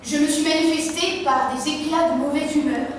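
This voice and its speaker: A woman in her twenties with a high voice recites a measured, repetitive text. She is heard from a distance in a hall with heavy echo. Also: steady tone, slight background noise. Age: 20s. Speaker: drama student. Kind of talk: stage recitation. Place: theatre stage. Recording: echoey hall, distant mic.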